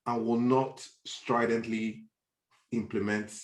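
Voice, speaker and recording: A man's voice: medium pitch, flat delivery, takes it slowly. A man with a Nigerian accent in a conversational political discussion, speaking emphatically and repeating phrases as he reasons. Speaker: podcast discussion panelist. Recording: roomy, echoey online call.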